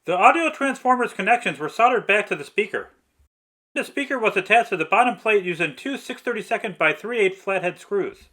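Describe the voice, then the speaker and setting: A man's voice: sits high, pushed and bright. A man narrating a scripted step-by-step restoration tutorial. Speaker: narrator, vintage radio restorer.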